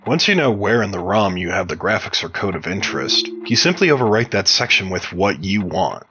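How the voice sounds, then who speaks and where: A man giving measured, explanatory interview answers as a game modder, sounding like he reads from prepared text. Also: sits low, sing-song, lo-fi sound, background noise.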